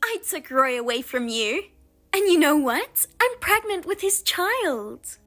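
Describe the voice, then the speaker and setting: A woman voicing a spiteful younger sister who steals husbands, with a high, sing-song, mocking manner. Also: very animated, a bit bright, full character voice. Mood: taunting. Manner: mocking, self-satisfied, drawn-out teasing. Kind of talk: narrated drama voice-over.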